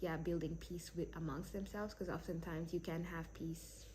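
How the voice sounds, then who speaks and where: A woman's voice: on the low side, fairly even intonation, normal speed, a little mellow, a little hiss or hum. A young woman from South Sudan, raised in Kenya, answering interview questions thoughtfully with many fillers and restarts. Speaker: PhD candidate.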